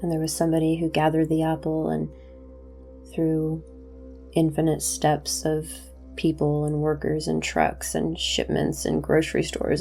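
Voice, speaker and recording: A woman hosting a guided meditation, introducing it as spiritual talk in deliberate, measured phrases. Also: on the low side, dark tone.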